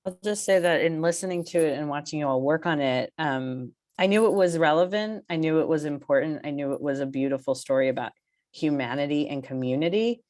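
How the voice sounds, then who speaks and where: A woman with a low-pitched voice speaks reflectively in a panel discussion. She is earnest and halting, often circling back to find the right word. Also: a little mellow. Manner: halting, searching for words, earnest. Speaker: theatre artistic team member.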